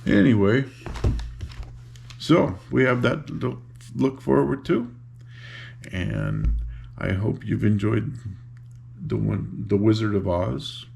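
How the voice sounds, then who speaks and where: An older man reading a children's book aloud in an unhurried, informal, grandfatherly way, with a gravelly voice and casual asides. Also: fairly low pitch, darkish tone, slight background noise. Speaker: storybook reader and host.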